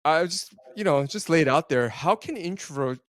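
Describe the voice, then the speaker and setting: A man's voice: a little high, animated, moderate pace, fairly bright tone, no room sound. Chatty male interviewer, dry sound. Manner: chatty, rambling questions. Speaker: podcast interviewer. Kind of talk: casual interview questions.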